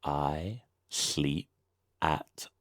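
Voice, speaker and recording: Very deep, slow, gravelly man teaching. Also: a bit bright, no room sound, clean studio sound. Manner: slow, deliberate, says each short sentence twice. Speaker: English teacher. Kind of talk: slow scripted beginner lesson.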